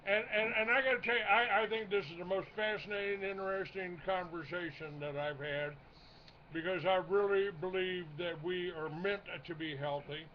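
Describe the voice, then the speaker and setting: A man hosting a casual talk show, halting as he goes and repeating the openings of his phrases. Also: high voice, fairly even intonation. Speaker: radio show host. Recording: dull lo-fi audio, noisy background.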